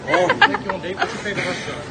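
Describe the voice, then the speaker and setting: Young male vlog regular, animated intonation, casual, very noisy handheld sound from a packed public space. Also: high-pitched, racing. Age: young adult. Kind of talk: casual chat. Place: busy public mall and store. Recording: very noisy handheld, loud crowd din.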